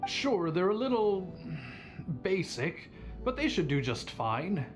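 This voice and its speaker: A man voicing a polite, eager and slightly nervous young bartender in an anime game, courteous and hesitant. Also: a little high.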